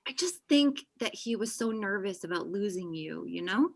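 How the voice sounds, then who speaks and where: A woman's voice: medium pitch, animated, normal speed, creaky. A young woman acting a blunt, sarcastic, apologetic best friend in a naturalistic script read over a video call. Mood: earnest, defensive. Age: young adult. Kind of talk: acted pilot table read, naturalistic. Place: small room at home, video call. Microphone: computer video-call mic.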